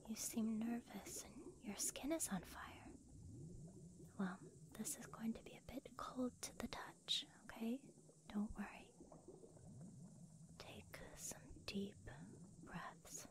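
Woman acting young female healer, anime ASMR roleplay, soft whisper, very slow pace, gentle, soothing. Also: on the high side. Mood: soothing, attentive.

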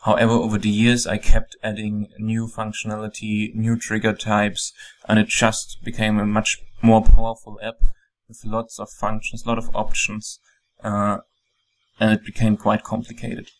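German-accented male developer, low flat voice, calm plain explainer with ums. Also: moderate pace, rough recording. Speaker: software developer. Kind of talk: screen-recorded software walkthrough.

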